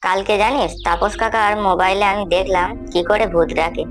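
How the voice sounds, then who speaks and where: A woman's voice: medium pitch, fairly even intonation, brisk, natural voice. A woman voicing a young village boy in a cartoon horror story, speaking colloquial dialogue in a pleading, coaxing way. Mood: persuading. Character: young village boy, one of three friends. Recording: music bed behind the voice.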